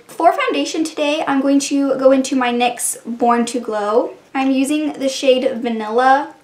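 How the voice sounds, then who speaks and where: A woman's voice: high voice, a little mellow, echoey room. A beauty vlogger, a woman who sounds a bit congested and stuffy, chatting casually through her makeup steps as she works. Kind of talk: casual makeup try-on narration.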